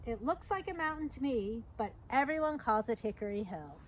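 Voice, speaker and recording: A middle-aged woman with a high voice reads a picture book to small children. She tells it simply and breaks off for short explanations. The recording is made outdoors, with clearly audible noise around her. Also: animated, brisk, low-quality recording. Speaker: storytime reader, parks staff. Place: outdoors at an open trailhead by a fence.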